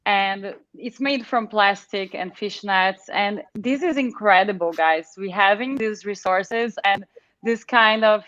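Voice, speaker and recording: A woman's voice: mid-range voice, measured pace, fairly bright tone, dry recording, muffled recording. A woman with a Brazilian accent, an international model speaking as a panelist in a casual video call discussion, chatty and enthusiastic with many fillers.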